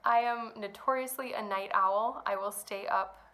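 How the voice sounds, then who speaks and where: A young woman with a bright, clear-toned voice talks casually to her audience, wandering from thought to thought with frequent ums. She sounds slightly stuffed up, still getting over a cold. She is at home. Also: medium pitch, steady tone, moderate pace. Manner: rambling, chatty, many ums. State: congested from a cold. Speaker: knitting podcast host. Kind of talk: casual chat to camera. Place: at home indoors.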